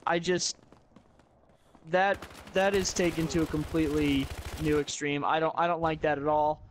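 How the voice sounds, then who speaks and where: A man with a voice a little higher than average talks casually about video games. He is opinionated and blunt, stating his views plainly as a guest would. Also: steady tone, normal speed, a little hiss or hum.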